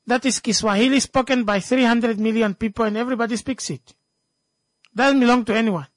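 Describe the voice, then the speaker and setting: Namibian accent, native speaker of a local language, mid-pitched voice, emphatic, repeats phrases, unscripted discussion, dry sound. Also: brisk, no room sound.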